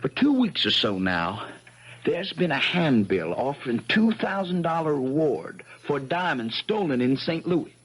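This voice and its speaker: An actor playing an earnest, dogged American country lawyer in a 1970s radio drama, husky and folksy, with dramatic insistence. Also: male voice, fairly high pitch, sing-song, measured pace, exaggerated voice. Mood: triumphant, insistent.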